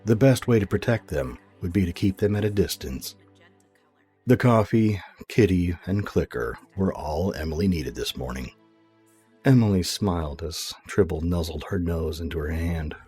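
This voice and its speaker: A man's voice: sits low, moderate pace, warm tone, husky, faint music behind. A man narrating a scripted audio drama as its storyteller.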